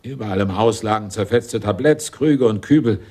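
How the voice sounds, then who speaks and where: An actor in a German radio play as a blunt, grumbling fire captain explaining things matter-of-factly. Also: male voice, on the low side, normal speed, dark tone, natural voice. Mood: dry, matter-of-fact.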